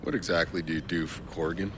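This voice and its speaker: A man's voice: deep voice, fairly even intonation, fast, background noise, close to an everyday voice. A man playing a laconic, commanding mercenary soldier in a video game cutscene. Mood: dry. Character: gruff, low-voiced mercenary soldier man, laconic leader.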